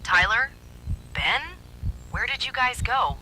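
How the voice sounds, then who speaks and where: A man's voice: very high voice, big pitch swings, slow pace, sharp tone, a little hiss or hum, pitched above the performer's own voice, full character voice, rough recording. A man voicing a sinister monster that mimics a young woman, coaxing and eerily hospitable in a horror story. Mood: coaxing, calling.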